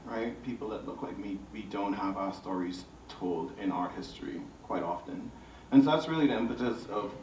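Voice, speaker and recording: A man with a Bahamian accent and a lowish voice talks reflectively on an artists' panel. He tells personal stories to an audience and often tags statements with "right?" The mic is distant, leaving the room audible around him. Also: a little mellow. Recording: roomy, distant mic, faint noise.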